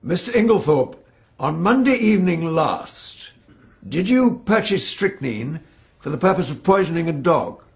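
An actor with a British accent playing a stern, formal coroner questioning witnesses in a measured, probing way in a radio drama. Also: male voice, fairly high pitch, big pitch swings. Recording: dull, band-limited radio drama recording.

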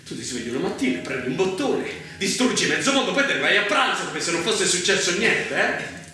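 Hard, mistrustful man, sharp forceful voice. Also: sits high, sing-song, fairly quick, full character voice. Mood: scornful, accusing. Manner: confrontational, accusing, biting off questions. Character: hard, mistrustful infiltrator rejecting his estranged brother. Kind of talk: intense theatrical stage acting. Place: theatre stage. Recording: very echoey theatre recording, faint noise.

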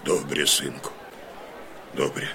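An actor playing a stern, terse old male Cossack warrior with a low, gravelly voice in an audio drama. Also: little pitch movement, takes it slowly, crowd noise, background noise. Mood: grave pride. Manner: terse, commanding.

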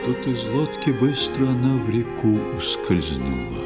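A man narrating a fairy tale in expressive, theatrical verse for an audio play for children. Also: mid-range voice, a little slow. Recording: studio narration over soft music.

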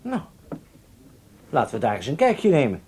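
A genial German racing enthusiast in a radio play. His pitch moves widely as he talks, and he sounds affable and probing, feeling his way through his phrases. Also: huge pitch swings, moderate pace, darkish tone, slight background noise. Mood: keen. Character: genial, scheming German horseman posing as wealthy racing enthusiast. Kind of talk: Dutch radio drama acting, conversational.

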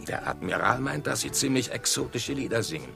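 An actor playing a brash, naive sailor with a gravelly voice in a satirical radio play. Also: male voice, mid-range voice, sing-song, fairly quick, over music. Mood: eager.

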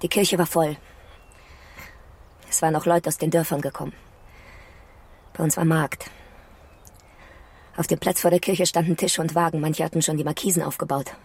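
An actress in a radio drama playing a grieving woman who survived a bombing, telling it in a quiet, halting, hushed way. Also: low-pitched, level tone, breathy edge. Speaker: woman recounting a wartime air raid.

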